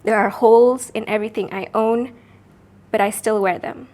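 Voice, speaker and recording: A woman from Sarawak, Malaysia, recites her own poem as a spoken word piece. Her voice sits slightly high, and she lets each line land before she moves on. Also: fairly even intonation, a little mellow. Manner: measured, deliberate, with pauses between phrases. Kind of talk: spoken word poetry performance.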